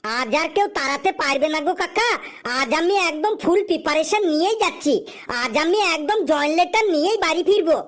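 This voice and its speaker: A woman's voice: very high voice, brisk. A woman voicing a cheeky, smart-mouthed young jobless man in a comic cartoon, with glib, teasing back-talk. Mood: cocky.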